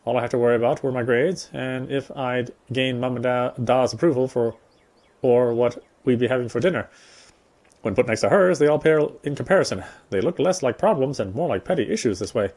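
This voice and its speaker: A man reading a visual novel's story narration aloud as a gameplay streamer, in a measured way. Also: mid-range voice, darkish tone.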